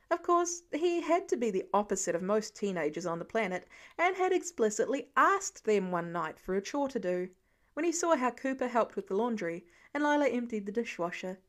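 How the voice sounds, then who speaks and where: A woman narrator reading a scripted piece of fiction as an audiobook. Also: fairly high pitch.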